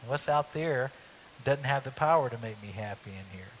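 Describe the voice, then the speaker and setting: A middle-aged man preaching a sermon on a church stage, talking in a conversational way with lively emphasis. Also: mid-range voice, moderate pace, low-quality recording. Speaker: pastor. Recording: faint hiss.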